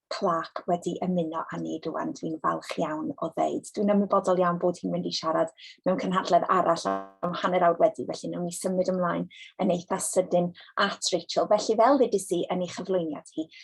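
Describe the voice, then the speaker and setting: Husky, gravelly-voiced Welsh woman hosting. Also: lowish voice, steady tone, a little fast, poor audio. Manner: warm introductions with many fillers. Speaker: event host. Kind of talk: hosting an online event.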